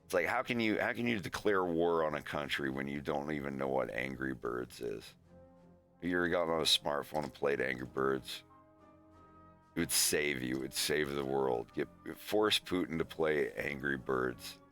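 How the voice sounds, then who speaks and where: A man's voice: extremely low pitch, moderate pace, a bit bright, soft background music. An American man streaming from home, rambling in casual chat and peppering his talk with "like", "dude" and swearing. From United States.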